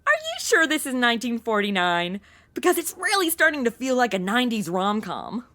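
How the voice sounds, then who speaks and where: A woman's voice: on the high side, wildly swooping pitch, fairly quick, bright, forceful tone, heavily acted. A young woman playing an irreverent, chatty modern sidekick with a sassy, casual manner in a comedic audio drama. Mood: excited. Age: young adult.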